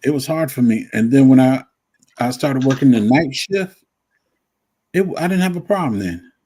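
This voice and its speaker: A man in his fifties, a guest on a live stream, telling stories in a relaxed way and often repeating himself. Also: medium pitch, normal speed, a little hiss or hum. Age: 50s. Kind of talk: casual chat.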